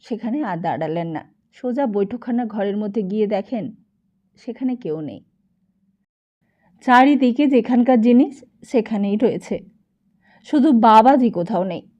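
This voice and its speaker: A woman's voice: on the high side, expressive, measured pace, long pauses, muffled recording. A woman narrating a novel expressively as an audiobook.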